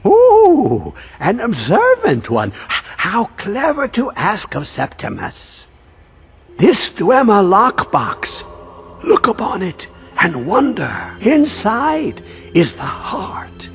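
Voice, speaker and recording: A man voicing a mad, obsessed old male scholar who talks in riddles and swings between high and low. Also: huge pitch swings, takes it slowly, creaky, heavily acted. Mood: conspiratorial. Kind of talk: video game voice acting. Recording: game audio with faint music.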